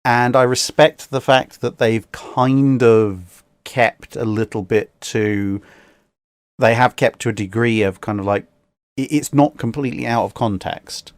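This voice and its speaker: A man talking casually as a guest commentator, giving opinions in a measured, analytical way. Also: medium pitch, no room sound. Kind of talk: casual discussion.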